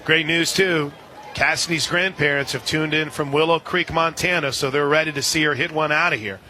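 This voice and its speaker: A man calling a softball game live as a radio play-by-play announcer, in a folksy, chatty way with listeners. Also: fairly high pitch, steady tone, moderate pace. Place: outdoor softball stadium. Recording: loud crowd and outdoor stadium noise. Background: crowd noise, outdoor ambience.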